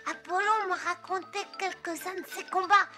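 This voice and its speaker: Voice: very high-pitched, fairly even intonation, moderate pace, sharp tone, faint music behind, full character voice. An actor voicing a small, naive, sweet boy chick in a cartoon, childlike and eager. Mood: affectionate, curious. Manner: childlike, exclaiming, wide-eyed. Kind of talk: cartoon dubbing.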